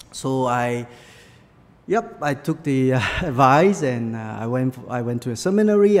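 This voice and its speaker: A man's voice: mid-range voice, darkish tone. Malaysian-born man preaching in a hall. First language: Chinese. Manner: halting, many fillers, non-native English phrasing. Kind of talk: sermon with personal stories.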